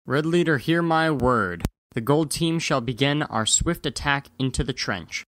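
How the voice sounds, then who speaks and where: A man's voice: mid-range voice, expressive, measured pace, a bit bright, a little hiss or hum. A man playing a resolute squadron leader who gives commands in declaimed Elizabethan verse in an audio drama. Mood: commanding.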